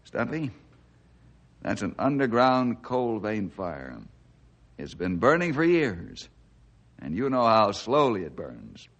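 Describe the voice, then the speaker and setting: An actor playing an upright, steady forest ranger, earnest and authoritative, in an old-time radio adventure. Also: male voice, lowish voice, slow pace, pauses often, muffled recording, exaggerated voice. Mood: calm, businesslike.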